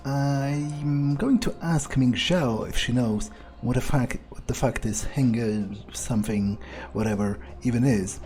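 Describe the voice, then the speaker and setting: Sardonic man with a dark, mellow voice. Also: medium pitch, takes it slowly, few pauses, soft background music. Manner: sardonic, amused, swearing, hesitant phrasing. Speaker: gamer playing in character. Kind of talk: in-character roleplay commentary, thinking aloud.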